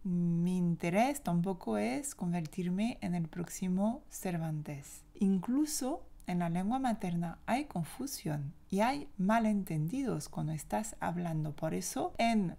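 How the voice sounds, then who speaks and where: A woman's voice: lowish voice, lively intonation, slow, creaky, few pauses, warm tone. A French woman with a French accent, a language teacher hosting her own podcast, talking in a reflective, opinionated and conversational way. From France. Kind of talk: solo podcast monologue.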